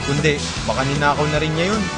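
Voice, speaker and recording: A man's voice: fairly high pitch, quick pace, natural voice. A man voicing an earnest male hero defending his planet in an amateur radio drama, speaking in a declamatory way. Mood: resigned. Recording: loud music under the voice.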